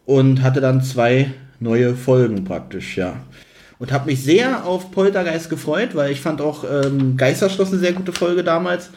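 A man's voice: medium pitch, unhurried, darkish tone. A man with a German accent chatting casually as a podcast co-host.

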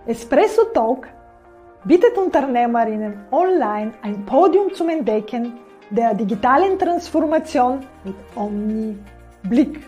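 Female host, high voice, halting questions with lots of "äh", faint music under the intro. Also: mellow. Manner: hesitant questions with many "äh" fillers. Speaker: interview host. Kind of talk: scripted intro, then interview questions.

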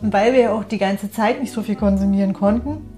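A woman's voice: medium pitch, steady tone, brisk, warm tone, soft background music. A middle-aged woman from East Germany chatting casually in colloquial speech, often ending on tag questions. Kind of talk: casual chat.

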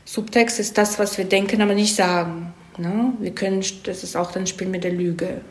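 A woman speaks as an interviewed expert in a casual, explanatory way. Her voice sits at a medium pitch, and she often tacks small check-in questions onto her statements. The recording is roomy, with clear echo around her voice. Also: steady tone, normal speed. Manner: explains with frequent check-in tags. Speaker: screenwriter being interviewed about storytelling craft.

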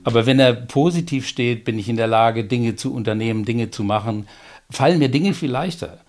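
Middle-aged male coach, interview guest; calm, explanatory, anecdotal. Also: medium pitch. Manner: measured, explanatory, occasional filler hesitations; tells personal anecdotes to make points. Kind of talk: interview conversation.

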